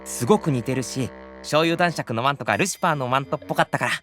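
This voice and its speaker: A man's voice: mid-range voice, fast, a bit bright, faint music behind, lightly acted. A man playing a nonchalant, teasing young demon brother in an anime-style audio drama, offhand and bluntly matter-of-fact. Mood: sly, satisfied.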